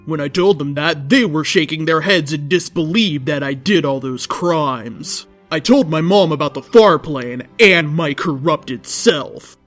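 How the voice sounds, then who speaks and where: A man's voice: on the high side, a little slow, few pauses, fairly bright tone, soft background music. A man hosting a story reading, narrating fan fiction aloud in the first person.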